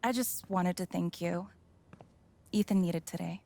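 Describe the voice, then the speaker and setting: An actress playing a guarded, grieving woman in a video game, halting and holding herself together, in a naturalistic style. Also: fairly low pitch, creaky, warm tone. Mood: subdued, grateful.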